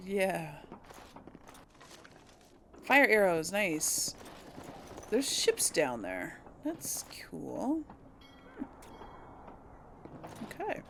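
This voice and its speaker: A woman's voice: on the low side, huge pitch swings, very slow, creaky, pauses often, fairly bright tone, a little hiss or hum. A woman hosting a casual let's play, musing aloud to herself and the audience as she plays. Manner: musing, talks to herself while playing. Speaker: gaming video host.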